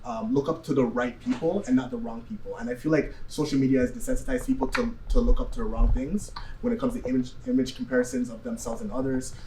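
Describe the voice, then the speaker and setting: Fast, animated young man on a panel. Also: mid-range voice. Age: 20s. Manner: hedging, often checking with "right?". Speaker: talk show panel guest. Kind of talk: unscripted opinion discussion. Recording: distant mic, faint background noise.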